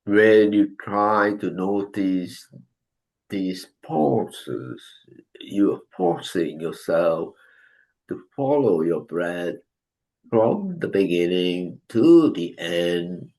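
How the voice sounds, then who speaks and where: A man leading a guided breathing meditation, giving calm instructions with long pauses. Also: low voice, poor audio. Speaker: meditation teacher.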